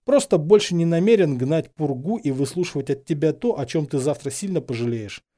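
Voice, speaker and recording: Male narrator as hot-headed teenage boy wizard, soft-edged tone, fairly high, blunt, grumbling, audiobook. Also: expressive, brisk. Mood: vengeful. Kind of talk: dramatised fan fiction audiobook.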